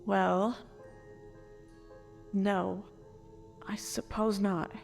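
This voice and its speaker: A wronged noble acted by a woman speaks with earnest, formal drama, as a grieving parent pushed toward becoming an assassin. The voice is medium in pitch with a noticeable fry, over light music, in a dark fantasy audio drama. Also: flat delivery, very slow. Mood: wary, questioning.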